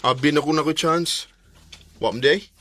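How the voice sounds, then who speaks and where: Actor as shy, halting young suitor. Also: male voice, on the high side, over loud music, close to an everyday voice. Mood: dejected. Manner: halting, stammering. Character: Gerson, shy, hesitant, lovestruck young male suitor. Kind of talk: Bisaya radio drama acting.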